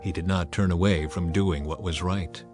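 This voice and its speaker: A man reading scripture aloud as narrator in a measured, solemn way. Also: low voice, fairly even intonation. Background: soft music.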